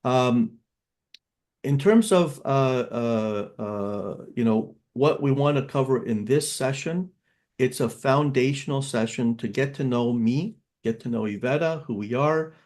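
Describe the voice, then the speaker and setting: A man's voice: medium pitch, unhurried, a little mellow. A man in his fifties who grew up in Canada, hosting an online seminar as a financial advisor and speaking in a casual, conversational way with frequent filler words. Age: 50s.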